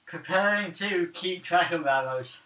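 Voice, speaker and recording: A male player chats casually at a game table in a high, bright voice. The sound is echoey and a little way from the microphone. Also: a little slow. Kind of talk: casual, sweary table chat. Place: indoors around a gaming table. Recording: roomy distant mic, faint noise.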